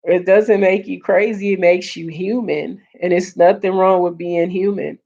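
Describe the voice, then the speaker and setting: A woman hosting a casual live stream, earnest and repeating her points, greeting viewers, her voice getting hoarse. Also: on the low side, steady tone, moderate pace, mellow. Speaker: livestream host. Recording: dull lo-fi stream audio.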